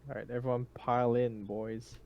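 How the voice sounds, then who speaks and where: A man's voice: medium pitch, level tone, moderate pace, lo-fi sound, a little hiss or hum. A man playing a tabletop roleplaying game, chatting casually and speaking for his character. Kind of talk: casual chat and in-character play.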